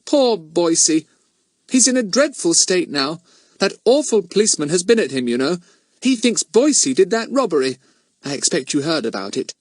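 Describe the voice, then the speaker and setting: A man's voice: high voice, big pitch swings, moderate pace, no echo, clean studio sound, pitched above the performer's own voice. A man voicing a kindly, genteel young mother in a storybook, gracious and chatty. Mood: indignant sympathy.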